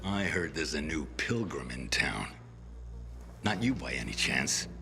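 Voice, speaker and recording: Deep-voiced, sly gang thug. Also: male voice, sing-song, unhurried, gravelly, over music, exaggerated voice. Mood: insinuating. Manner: insinuating, taunting. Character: sly menacing male gang thug, taunting. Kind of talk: video game voice acting.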